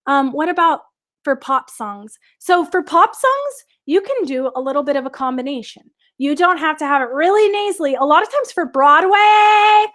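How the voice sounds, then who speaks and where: A woman's voice: high voice, a bit bright. A young woman teaching a live online singing class, chatting upbeat with viewers and answering their questions.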